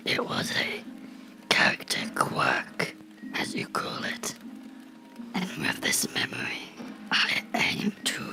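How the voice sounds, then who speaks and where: A sinister cartoon creation out for revenge, whispers slowly and deliberately over clear music. The menacing whisper is gravelly and swings wildly between low rasps and higher hisses. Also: exaggerated voice. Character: vengeful fictional cartoon character menacing the fan who drew them. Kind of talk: horror ASMR role-play.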